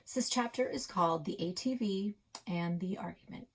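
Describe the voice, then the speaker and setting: A woman's voice: medium pitch, moderate pace, breathy edge. A woman reading a children's novel aloud as a library read-aloud host.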